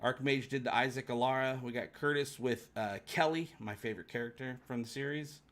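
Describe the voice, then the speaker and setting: A man's voice: medium pitch, fairly quick, a bit bright. A man hosting a fan livestream, chatting casually and enthusiastically with his viewers.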